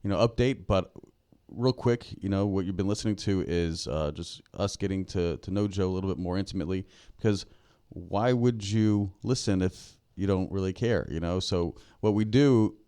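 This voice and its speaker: Smooth, very deep-voiced male podcast host. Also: normal speed, hardly any pauses, warm tone. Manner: chatty, with frequent fillers and backchannels. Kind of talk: casual interview chat.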